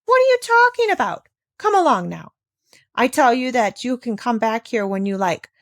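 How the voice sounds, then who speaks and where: Storybook aunt, read by a woman: bossy, hurried, persuasive, impatient with children. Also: fairly high pitch, very animated, no room sound, studio quality, voice pushed up.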